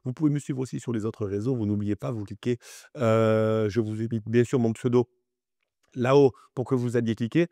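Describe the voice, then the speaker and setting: A man's voice: medium pitch, normal speed, dry recording. Man, crypto vlog host, chatty and pushy, slightly stuffy, dry close mic. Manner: chatty and animated, many fillers, urges viewers directly. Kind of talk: crypto market analysis vlog.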